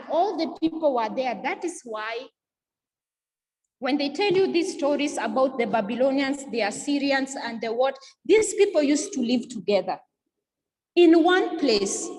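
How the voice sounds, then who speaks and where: A woman's voice: high-pitched, long reverb tail, rough recording. A woman giving an earnest, impassioned talk, repeating and restarting her words for emphasis. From East Africa. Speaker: speaker giving a talk on history. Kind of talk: impassioned informal lecture.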